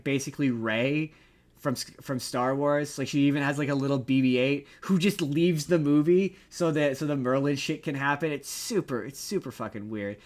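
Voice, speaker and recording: Male podcast co-host, slightly high voice; rambling, emphatic, excitable pop-culture rants, stumbles over words, swears freely. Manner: casual swearing. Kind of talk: casual pop-culture chat and ranting.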